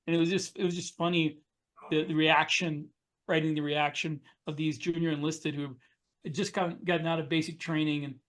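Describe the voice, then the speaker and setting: A man's voice: on the high side, level tone, a little fast, fairly bright tone. A man telling anecdotes casually as a podcast co-host and author.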